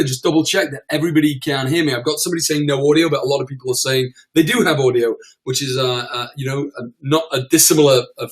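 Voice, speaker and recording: A man presenting a live webinar, croaky from a cold, chatty with lots of fillers and repeated words. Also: mid-range voice.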